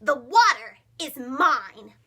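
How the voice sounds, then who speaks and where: A woman voicing a quarrelsome male frog in a children's storybook, shouting in a defiant, possessive way. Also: sits high, sing-song, slow, full character voice. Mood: angry. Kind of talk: children's storybook character reading.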